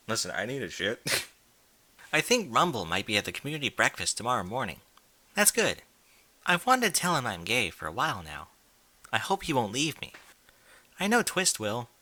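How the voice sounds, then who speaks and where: A man's voice: mid-range voice, pushed and bright. A man reading fan fiction aloud in an informal, blunt way, with incredulous asides in casual speech. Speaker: fan fiction reader and commentator.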